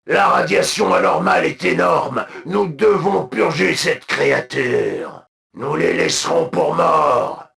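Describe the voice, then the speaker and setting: An actor voicing a proud, zealous alien military commander for a video game, with a gravelly voice and solemn, imperious, clipped delivery. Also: male voice, fairly high pitch, sing-song, takes it slowly, a bit bright, exaggerated voice. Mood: stern, contemptuous. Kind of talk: video game unit voice lines, declamatory.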